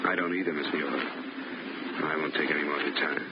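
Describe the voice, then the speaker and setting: A man's voice: extremely low pitch, fairly even intonation, quick pace. A man playing a hard-boiled insurance investigator who narrates and questions people in a 1950s radio drama, with a dry, wry delivery. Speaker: narrator and lead. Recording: muffled old radio broadcast with loud hiss.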